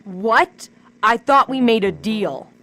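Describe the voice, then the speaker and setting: A woman's voice: a little high, sing-song, slow, a bit bright, faint music behind, heavily acted. An actress playing a brazen, scheming female informant in a comic radio drama. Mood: indignant.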